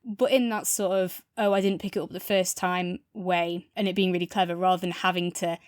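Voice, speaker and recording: A woman's voice: lowish voice, level tone, brisk, clean tone, no echo, studio quality. A woman co-hosting a podcast in a casual, informal chat, explaining at length with plenty of asides. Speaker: podcast co-host. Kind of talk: casual chat, audio drama review.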